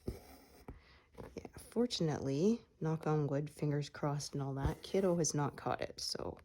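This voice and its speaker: Low-pitched lady chatting while she stitches, kinda stuffy from a cold, lots of uhs. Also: measured pace. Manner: relaxed, meandering, frequent fillers. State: recovering from a cold, slightly congested. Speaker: cross-stitch vlogger. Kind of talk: casual chat while stitching.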